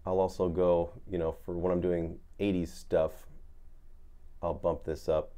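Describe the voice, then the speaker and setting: A man's voice: low-pitched, flat delivery, a little slow, dark tone, clear, resonant tone. A man giving an off-the-cuff, casual guitar effects tutorial, laid-back and rambling as he searches for words. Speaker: guitar effects demonstrator, host.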